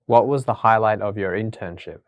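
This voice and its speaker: Male interviewer, lowish voice, dry sound, plain scripted questions. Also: fairly quick, dry recording, lo-fi sound. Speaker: interviewer and announcer. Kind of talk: scripted questions and title read.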